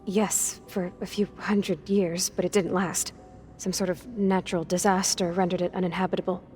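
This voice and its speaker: Game role: fragile, precise, anxious young female clone, played by a woman, halting delivery, faint music behind. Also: fairly low pitch, little pitch movement, a little mellow, close to an everyday voice. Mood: subdued, clinical. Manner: halting, clinical, precise wording. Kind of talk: dramatic video game acting.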